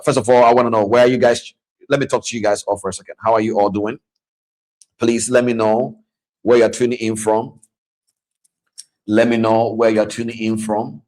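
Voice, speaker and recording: A man's voice: mid-range voice, darkish tone, low-quality recording. A man hosting a live-stream trading masterclass, chatting and repeating himself as he greets viewers by name. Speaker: trading coach and host. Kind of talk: live-stream masterclass with audience shout-outs.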